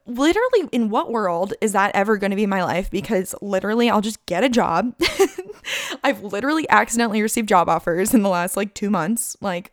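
A woman's voice: mid-range voice, no echo, studio quality. A woman in her twenties hosting a casual solo podcast, chatty and candid, leaning on "like" and "literally" and laughing often. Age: 20s. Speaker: podcast host.

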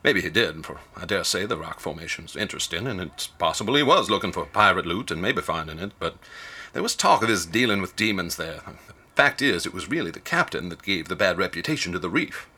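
Deep-voiced folksy old ticket agent. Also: male voice, expressive, a little fast, pushed and bright, slightly rough, full character voice. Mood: ominous, wary. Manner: folksy, rambling, rustic colloquial speech. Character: folksy older male small-town ticket agent, wary gossip. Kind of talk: radio drama dialogue.

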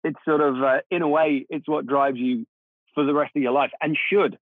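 A man's voice: a little high, steady tone, normal speed, dry recording. Man on a tinny line. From Czech Republic. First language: Czech. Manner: reflective, self-deprecating, laughs easily. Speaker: guest, film composer. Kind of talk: casual interview conversation. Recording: dry, phone-like narrow sound.

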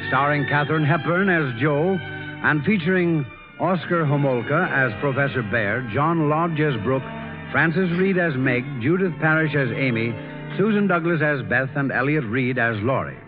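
A man's voice: on the high side, animated, moderate pace. A male radio announcer introducing a programme in formal 1940s broadcast style. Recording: tinny old radio, music behind.

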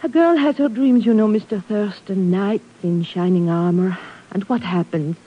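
An actress playing a sly, worldly, flirtatious secretary with a coy, languid manner in a 1950s radio drama. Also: female voice, medium pitch, moderate pace, husky, lightly acted. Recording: muffled old radio recording.

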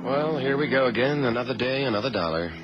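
An actor playing an easygoing, wisecracking agent sidekick in a radio drama, casual and wry. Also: male voice, mid-range voice, lively intonation, fast, highs cut off, natural voice. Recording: noisy old radio recording.

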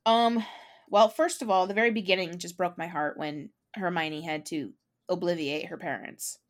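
A woman co-hosting a podcast in casual chat, talking with eager enthusiasm and frequent quick restarts. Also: lowish voice, fairly even intonation. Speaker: podcast co-host.

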